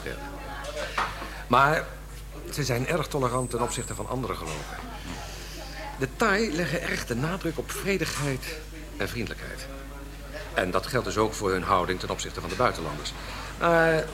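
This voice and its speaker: An actor playing a worldly Dutch expatriate guide in a radio drama, explaining things bluntly. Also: male voice, fairly low pitch. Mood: explanatory. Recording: clear background noise and hum.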